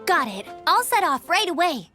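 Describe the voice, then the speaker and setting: A perky young scout girl, very high and bouncy in pitch. She sounds eager and self-assured. Game music sits behind her. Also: very animated, moderate pace, sharp tone, exaggerated voice. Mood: upbeat. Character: young woman scout, upbeat and confident.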